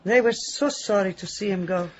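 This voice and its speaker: A woman's voice: medium pitch, normal speed, muffled recording, close to an everyday voice. A woman playing a doting but secretive village woman, emphatic and motherly, in a radio drama. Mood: fond, wistful.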